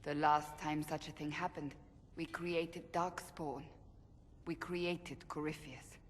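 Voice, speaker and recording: An actress playing a stern, devout, earnest warrior in a video game, speaking formally. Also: female voice, sits low, fairly even intonation, takes it slowly. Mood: solemn, worried. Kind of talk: video game dramatic acting. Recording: long reverb, background noise.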